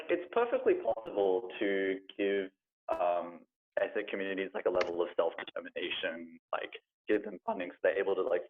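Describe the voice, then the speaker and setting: A man putting a challenging question in a debate, speaking casually with many filler words. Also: deep voice. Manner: casual, frequent "like". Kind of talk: debate point of information. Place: online debate call. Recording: tinny phone-like online call.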